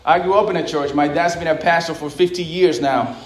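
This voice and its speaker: A man from the Dominican Republic preaching with a notably high voice. He talks to the congregation in a loose, conversational way, restarting words and dropping in casual asides. Middle-aged, a pastor in full sermon. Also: fairly even intonation, a little fast.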